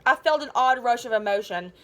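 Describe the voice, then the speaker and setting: A woman reading a children's novel aloud as a teacher, in an animated, dramatic and informal way. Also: a little high, a little fast. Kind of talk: expressive children's book read-aloud.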